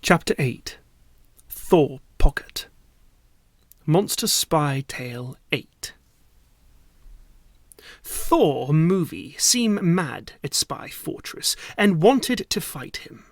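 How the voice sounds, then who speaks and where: A man reading a story aloud as a voice actor, in a dramatic, theatrical manner that drops at times into hushed asides. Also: on the high side, very animated, extremely slow, pauses often, no room sound.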